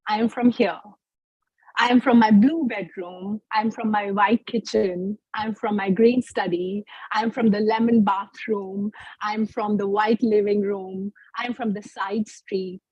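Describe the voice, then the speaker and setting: A woman from India, a poet, reading her poem and then answering questions in a slow, measured, reflective way. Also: medium pitch, fairly even intonation, darkish tone, breathy edge, no room sound, muffled recording. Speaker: guest poet. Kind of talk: poetry reading and interview answers.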